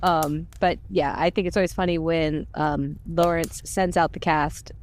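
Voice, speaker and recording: A woman's voice: sits low, fairly even intonation, measured pace, slight background noise. A woman chatting casually and telling personal stories in a candid, self-deprecating way. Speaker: podcast voice actor. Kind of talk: casual chat, personal storytelling.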